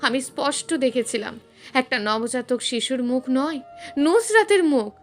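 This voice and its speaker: Woman reading as a broken middle-aged father, high voice, haunted, pleading, animated storybook delivery, faint music. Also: unhurried. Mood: haunted, insistent. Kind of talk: storybook voice within narration.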